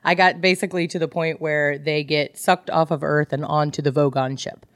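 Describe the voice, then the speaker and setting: A woman's voice: low voice, fairly even intonation, moderate pace, dry recording, clean studio sound. A woman co-hosting a casual book club podcast, chatting in an informal, teasing and opinionated way. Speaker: podcast co-host.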